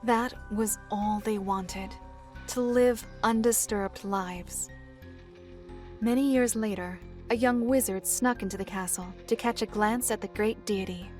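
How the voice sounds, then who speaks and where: A solemn storyteller, played by a woman, telling dark fantasy lore in a middle-pitched voice. The delivery is formal and measured, like a scripted visual novel narration, with faint music underneath. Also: fairly even intonation, natural voice. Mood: grave.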